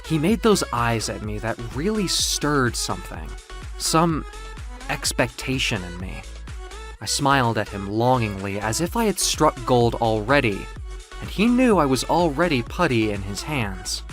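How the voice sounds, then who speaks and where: A man narrating first-person audio fiction in a theatrical, expressive, confiding way. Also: mid-range voice, a little slow. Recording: music under the voice. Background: music.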